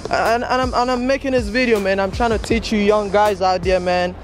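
A man's voice: high voice, steady tone, fairly quick, soft background music. A young man in his twenties vlogging from an outdoor car auction lot with a handheld camera, giving casual hustle advice and calling people "man" and "bro". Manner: preachy hustle talk, repeats "man" and "bro". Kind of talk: casual vlog walkthrough. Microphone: handheld camera at arm's length.

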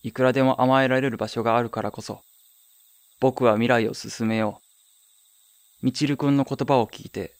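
A man's voice: medium pitch, no room sound, natural voice. A man playing a wistful, wry young man who narrates his own story in a radio drama. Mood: earnest resolve.

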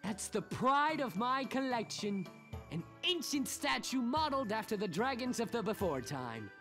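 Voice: medium pitch, big pitch swings, moderate pace, fairly bright tone, soft background music. Pompous, swooping cartoon mayor. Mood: proud storytelling. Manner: pompous, melodramatic. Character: pompous, theatrical, grandiose mayor of a cartoon kingdom. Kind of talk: video game cutscene acting.

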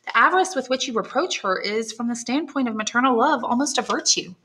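A woman's voice: a little high, fairly quick, bright, forceful tone, slight background noise, pitched above the performer's own voice. A woman reading aloud and voicing an anxious young woman in love, earnest and pleading. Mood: anxious, tender. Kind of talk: audiobook character voice.

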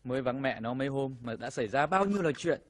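A man's voice: mid-range voice, lively intonation, fast talker, close to an everyday voice. A man playing a frazzled, hapless father in a cartoon, flustered and grumbling. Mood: weary grumbling. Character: frazzled, hapless father of two young children. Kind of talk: cartoon voice acting.

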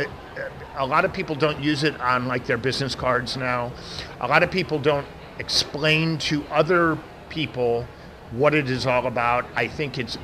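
A man's voice: medium pitch, bright, forceful tone. A middle-aged American man who says he has a big voice, answering interview questions with many restarts. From United States. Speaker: interview guest, event professional. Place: outdoor event media wall. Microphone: handheld mic held by interviewer. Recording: outdoor traffic noise behind.

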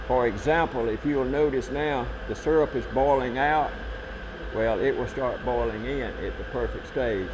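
An older man, a syrup maker explaining his work on his farm in a plain, unhurried way. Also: medium pitch, background noise. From southern United States. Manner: plain-spoken, unhurried farmer's talk. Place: farm, outdoors and in a cooking shed.

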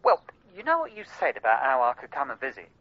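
A man's voice: mid-range voice, huge pitch swings, fast talker, no room sound. An actor with an English accent playing a teasing, affectionate London man in a radio drama. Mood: cheeky, teasing. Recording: dull, dry, telephone-like drama sound.

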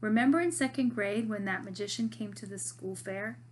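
A spirited, outspoken young girl speaks in a storybook read-aloud, voiced by a woman. She sounds emphatic and lively, with a middle-pitched, bright delivery. A faint hum sits under the voice. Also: normal speed, natural voice. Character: spirited, blunt schoolgirl, loyal best friend.

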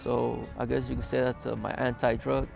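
Flat gravelly guy in his 20s talking outside, lots of uh pauses. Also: mid-range voice, faint music behind. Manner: plain, halting, with many "uh" pauses. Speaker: interviewee. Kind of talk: documentary interview, recounting his past. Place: outdoors in a grassy desert yard.

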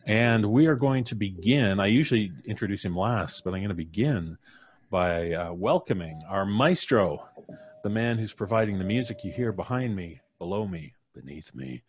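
A man's voice: lowish voice, expressive, moderate pace. A middle-aged man with a North American accent hosting a live stream and introducing guests with effusive, showman-like flourish. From Canada. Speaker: podcast host. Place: small room, indoors. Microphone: studio microphone on boom arm, headphones.

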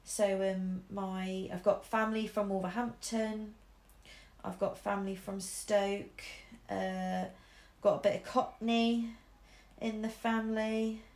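A young English woman with a mixed Midlands accent talks slowly, full of ums and small restarts. She chats casually as she draws at home, and the room sounds echoey around her. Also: mid-range voice, little pitch movement, darkish tone. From Shropshire, England. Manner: chatty, many ums and restarts, laughs easily. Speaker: artist streamer. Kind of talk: casual chat while drawing. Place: living room at home, indoors. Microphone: camera over the shoulder.

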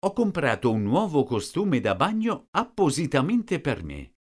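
A man slowly reading out short sentences, each one twice, for a language lesson. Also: on the high side, wildly swooping pitch. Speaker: language teacher. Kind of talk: slow repeated phrase reading.

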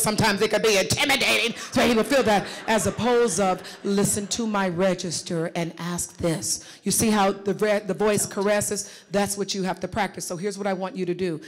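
A middle-aged woman leads a workshop from a conference stage, talking into a handheld microphone. She coaches her audience in a lively, direct way, with an ordinary middle pitch. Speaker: workshop facilitator. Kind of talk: live seminar coaching. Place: large conference hall stage. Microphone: handheld mic.

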